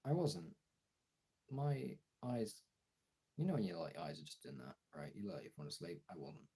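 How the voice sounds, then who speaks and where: A young man streamer talking casually and frankly in a gravelly, husky voice, halting at times and swearing freely. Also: deep voice, lively intonation, dark tone. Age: young adult. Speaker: streamer and voice actor chatting to followers. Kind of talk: casual candid chat.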